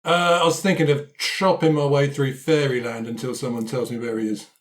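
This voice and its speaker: A man's voice: medium pitch, fairly even intonation, brisk, natural voice. A man improvising a cocky, vengeful adventurer in a roleplay, speaking in a blunt, offhand, matey way. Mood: vengeful, confident. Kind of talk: improvised roleplay for an actual-play podcast.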